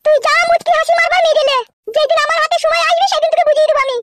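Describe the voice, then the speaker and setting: A voice actor playing a scorned, sharp-tongued village mistress who scolds and threatens in a comedy cartoon, through a pitched-up effect. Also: steady tone, racing, noisy background. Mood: furious threatening. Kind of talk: amateur Bengali comedy cartoon dialogue.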